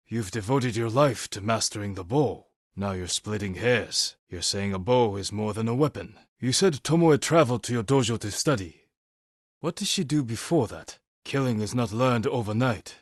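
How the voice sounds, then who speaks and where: A husky, fairly low male voice as a stoic samurai in a dramatic video game. He speaks in terse, measured phrases with grave determination. The sound is close and dry. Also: dry recording. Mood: stern, measured, then urgent, insistent. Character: stoic, determined samurai warrior, adult man.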